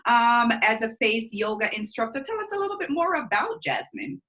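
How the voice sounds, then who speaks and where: A woman's voice: a little high, very animated, moderate pace, pushed and bright. A woman hosting a fitness podcast, enthusiastic and chatty, often repeating words. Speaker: podcast host. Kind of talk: casual podcast interview. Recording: faint music underneath.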